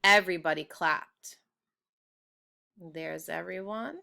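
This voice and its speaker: A woman's voice: fairly low pitch, slow, vocal fry, sharp tone. A woman reading a children's storybook aloud expressively, as if showing it to young listeners. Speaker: read-aloud narrator for children.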